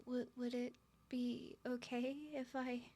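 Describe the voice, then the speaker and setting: A woman's voice: on the high side, monotone, slow pace, darkish tone. A woman playing a nervous, smitten young woman on a first date in an ASMR roleplay, stuttering and rambling with flustered giggles. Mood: shy, eager.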